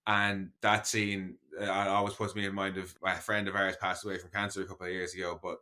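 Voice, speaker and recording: A man's voice: deep voice, monotone, brisk, bright, forceful tone. An Irish man co-hosting a podcast, telling stories in casual chat and swearing freely. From Ireland. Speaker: podcast co-host.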